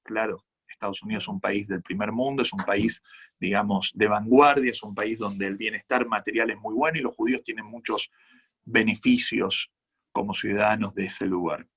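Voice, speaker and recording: An Argentine-accented rabbi answers audience questions in an earnest, measured way. His low voice carries a husky edge. He is a man speaking at a conference. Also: steady tone, a bit bright. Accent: Argentine Spanish. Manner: earnest, measured, reflective explanations. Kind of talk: conference Q&A talk.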